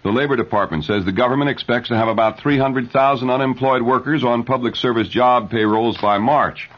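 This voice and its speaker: A man reading news and ads as a radio newscaster in a measured 1970s announcer style. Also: medium pitch, fairly bright tone. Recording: dull, lo-fi 1970s radio broadcast recording.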